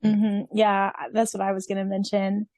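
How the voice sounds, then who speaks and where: A woman's voice: mid-range voice, monotone, moderate pace, dark tone, no echo. A woman co-hosting a podcast, chatting casually and musing as she talks. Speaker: podcast co-host. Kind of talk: casual chat.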